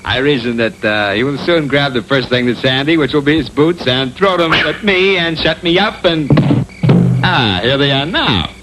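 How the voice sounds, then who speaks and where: An American man voicing a sly, fast-talking magic cat in a cartoon, with glib, wheedling salesman patter on an old TV soundtrack. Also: a little high, expressive, over loud music, natural voice, rough recording. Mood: scheming. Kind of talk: comic cartoon voice acting.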